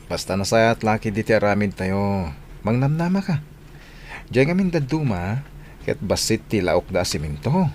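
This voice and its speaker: A stubborn, grumbling Filipino husband. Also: male voice, lowish voice, very animated, moderate pace, darkish tone, slight background noise. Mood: businesslike. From Philippines. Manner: dismissive, grumbling, exclaims. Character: stubborn money-minded husband and father running a hollow block business. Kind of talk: Philippine radio drama acting.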